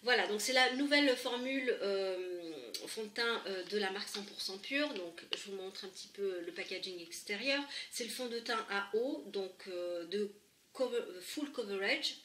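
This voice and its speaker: A woman's voice: medium pitch, moderate pace, a bit bright, slight background noise. A woman with a head cold chatting through a makeup tutorial, hesitant and full of fillers and restarts, recorded at home. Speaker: beauty vlogger. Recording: echoey room, camera a bit far.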